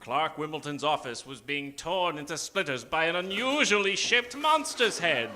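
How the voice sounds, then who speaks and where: A man narrating an improvised comedy in old-time radio melodrama style, with dramatic, deliberate pauses. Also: a little high, very animated, bright, forceful tone, faint music behind.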